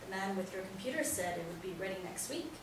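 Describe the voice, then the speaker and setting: A woman's voice: mid-range voice, lively intonation, fast talker, close to an everyday voice. An actress in a staged play reading playing a cheerful, protective mother of a grown son, recorded in an echoey room. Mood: upbeat, encouraging. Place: echoey indoor room, far from mic. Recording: very echoey room, distant mic, faint noise.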